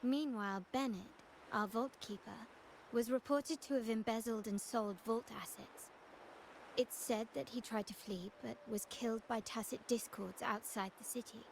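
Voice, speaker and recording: An actress playing a refined, guarded young heiress in a video game, speaking in an elegant, formal and composed manner. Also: female voice, on the high side, moderate pace, slight background noise, lightly acted, rough recording. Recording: music behind the voice. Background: loud game music.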